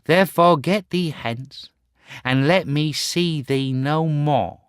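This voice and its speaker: A man doing a scornful proud boy, high. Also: slow, clear, resonant tone, no room sound, studio quality. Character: proud cruel boy turned remorseful.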